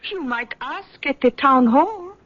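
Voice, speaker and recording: An actress playing a wary, reticent woman in a radio mystery, speaking haltingly. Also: high voice, lively intonation. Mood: wary, reserved. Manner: halting, careful. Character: wary, reticent German woman answering her door. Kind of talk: radio drama acting. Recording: thin, phone-like old radio recording.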